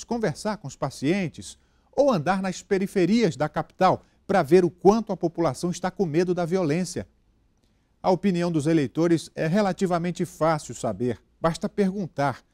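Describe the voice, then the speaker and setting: A man's voice: high-pitched, dry recording. A man in his forties with a Brazilian Portuguese accent giving an emphatic opinion commentary in a TV studio on a lapel mic. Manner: lively, emphatic opinion delivery. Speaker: TV news commentator. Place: TV news studio.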